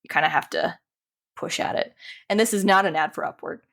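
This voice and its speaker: A woman's voice: medium pitch, a little fast, gravelly, fairly bright tone. A woman chatting casually as an interview guest, informal and self-deprecating in the way she talks. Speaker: podcast guest, animator and dungeon master.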